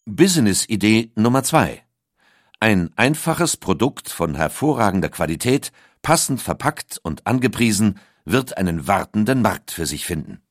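A man reading a scripted documentary audiobook in a measured, articulate storytelling manner. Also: on the low side, very animated, no room sound, studio quality. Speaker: narrator.

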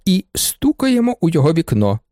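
A man's voice: on the high side, wildly swooping pitch, a little fast, dark tone, dry recording, studio quality, voice pushed up. A man reading an audiobook, voicing an insolent young student who explains himself with mock courtesy. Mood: mock-polite. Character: insolent young male student, smooth talker. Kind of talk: audiobook character voice.

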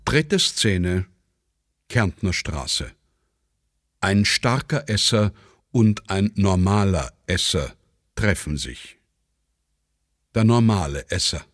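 Measured male narrator of a radio play. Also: low voice, expressive, a bit bright, no echo, studio quality. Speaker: narrator reading stage directions. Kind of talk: scripted dramatic reading.

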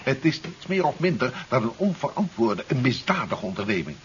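An actor playing an indignant, easily frightened scientist in a 1970s radio drama, with theatrical, emphatic, formal speech. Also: male voice, medium pitch, sing-song, fairly quick, natural voice. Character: indignant male scientist, scornful, then frightened under threat. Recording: dull, hissy 1970s radio recording.

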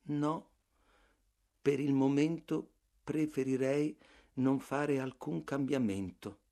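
Man reading a soft, stubborn clerk. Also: medium pitch. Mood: calm, stubborn. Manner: soft, slow, flat and calm. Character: meek quiet male clerk, passive and stubborn.

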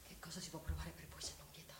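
A woman's voice: deep voice, little pitch movement, fast talker, natural voice. An actress playing a proud, wronged young woman, emotional and accusing, in a theatrical radio drama. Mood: wounded, hushed. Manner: emotional, swinging between hushed and outraged. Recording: distant, roomy radio play with faint noise.

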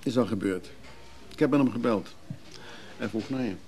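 Actor as a guarded older man, gravelly, mellow, measured, brusque, radio play. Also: medium pitch, lightly acted. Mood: proud, precise. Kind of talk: radio drama acting.

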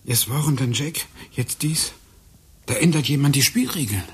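An actor playing an anxious, brooding, paranoid husband in a radio play, speaking tersely. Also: male voice, a little high, animated, moderate pace, slightly rough, heavily acted. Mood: brooding, uneasy. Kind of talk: naturalistic German radio play dialogue.

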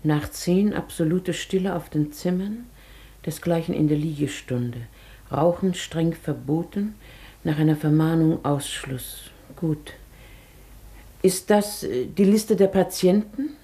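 A woman's voice: low voice, slow, warm tone. An actress in a German radio play playing a polite, reserved, ladylike newcomer at a sanatorium. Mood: hesitant.

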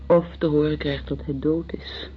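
A woman's voice: sits low, little pitch movement, moderate pace, natural voice. An actress playing a troubled, guarded young woman in a radio drama, earnest in her delivery. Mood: anxious, despairing. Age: young adult. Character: anxious young woman searching for her exiled brother. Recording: dull radio recording with music.

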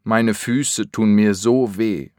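Male course speaker, lower voice, deliberate and slow, dry studio sound. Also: steady tone, dark tone, no echo. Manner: clear, deliberate enunciation. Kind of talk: slow scripted language drill.

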